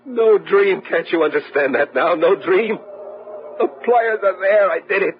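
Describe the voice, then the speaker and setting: An actor playing a tormented man consumed by fear and madness, halting and repeating himself in an old-time radio horror drama. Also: high voice, a little hiss or hum. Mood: anguished. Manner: halting, repeating words in dread. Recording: muffled old radio recording, music behind.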